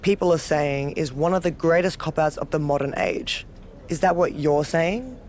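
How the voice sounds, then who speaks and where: A woman's voice: low-pitched, moderate pace, a little mellow, a little hiss or hum, lightly acted. A woman playing a cocky, dominant, sardonic wrestler who relishes chaos in a video game cutscene. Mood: defiant, assured. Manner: sardonic, self-assured. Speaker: pro wrestler character.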